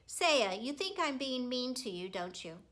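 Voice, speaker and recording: Woman reading as a firm, bright-voiced schoolteacher. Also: sits high, big pitch swings, unhurried, exaggerated voice. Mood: firm, questioning. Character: strict, brisk female schoolteacher in a children's storybook.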